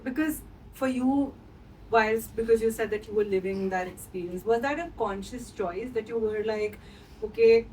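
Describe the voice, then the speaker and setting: A woman's voice: mid-range voice, darkish tone. A woman audience member speaks at some distance from the microphone, with faint noise around her. She puts her question in a hesitant, roundabout way. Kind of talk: hesitant, meandering spoken question. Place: screening venue, away from microphone.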